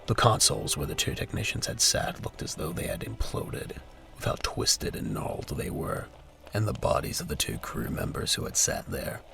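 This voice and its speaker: A man narrates an audio drama in an extremely deep voice full of gravelly creak. His scripted delivery is highly animated in pitch, set over clear background music.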